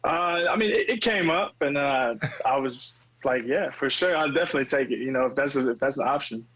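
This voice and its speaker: A man's voice: medium pitch, fairly quick, a bit bright, low-quality recording. A man from Texas, a firefighter, chatting casually as a podcast guest in a modest, understated, easygoing way. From Texas, United States. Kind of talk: casual chat.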